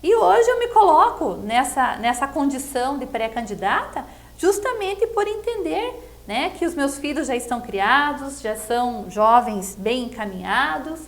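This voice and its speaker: High-voiced middle-aged Brazilian woman in interview. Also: big pitch swings, a little slow. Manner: earnest, explanatory, often checks with a tag question. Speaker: political pre-candidate being interviewed. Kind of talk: conversational political interview.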